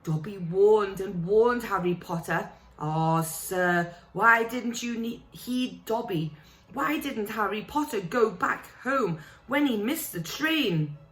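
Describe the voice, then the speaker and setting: Elf servile et larmoyant, voix aiguë, joué par une femme, pièce qui résonne. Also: female voice, animated, slow pace, reverberant. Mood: pleading. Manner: high, anxious, speaks of himself by name. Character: pleading, tearful male house elf.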